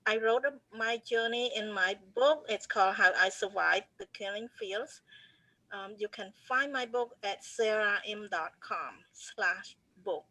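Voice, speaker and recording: Woman, fifties, cambodian accent, very slow, bright tone, earnest. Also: mid-range voice, no room sound, poor audio. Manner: earnest, hesitant with fillers. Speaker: co-host. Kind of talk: personal introduction on a video call. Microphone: video call.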